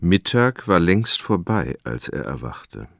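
A man narrating a literary radio drama in a dramatic, measured storytelling manner. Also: deep voice, very animated, gravelly, background noise.

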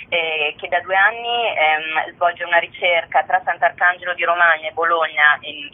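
Woman on a tinny phone line. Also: fairly low pitch. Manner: hesitant, searching for words. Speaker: theatre festival co-director, interview guest. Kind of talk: phone interview.

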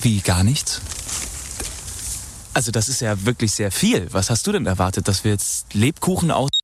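A man's voice: on the low side, lively intonation, moderate pace, slight background noise, close to an everyday voice. An actor playing a keen, slightly defensive male archaeologist in a naturalistic radio drama.

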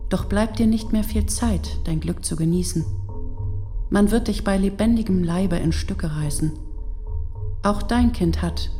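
Condemned woman prisoner for radio drama, mellow lowish voice, grave and bitter, music behind. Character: doomed women camp prisoners, one a defiant mother, bitterly accusing. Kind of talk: dramatised reading of testimony for radio.

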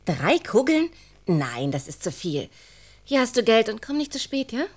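A woman playing a busy, brisk, mildly impatient mother in a children's radio play. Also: a little high, sing-song.

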